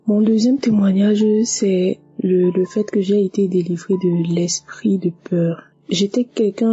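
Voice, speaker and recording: A woman with a husky, warm voice gives an earnest testimony, pitched a little low and keeping an even, flat line. She repeats words for emphasis as she speaks. The recording is dull and lo-fi, with faint music underneath. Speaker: testifier giving a church testimony. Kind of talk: personal testimony.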